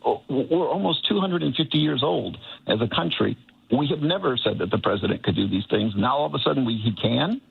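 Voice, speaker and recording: Gravelly-voiced man calling in over the phone. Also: mid-range voice, expressive, fairly quick. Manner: earnest, building argument step by step. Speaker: caller. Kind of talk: call-in commentary. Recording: phone line into radio show.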